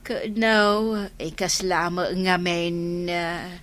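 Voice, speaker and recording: A woman's voice: on the low side, steady tone, extremely slow, fairly bright tone, dry recording. A woman playing a teasing, gossipy villager in a comedy drama, with an Ilocano accent and exclamatory delivery. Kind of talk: comic radio-drama acting.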